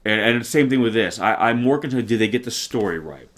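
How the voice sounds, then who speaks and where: Low-voiced man ranting about movies. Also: lively intonation, a little fast, fairly bright tone. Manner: earnest, long-winded, asks rhetorical questions. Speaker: co-host of a movie trailer reaction channel. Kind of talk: casual opinionated movie talk.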